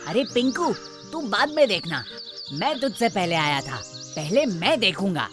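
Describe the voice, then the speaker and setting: A woman's voice: mid-range voice, sing-song, a little slow, over music. A woman voicing a confident, bossy young schoolboy in a children's cartoon, assertive and childlike.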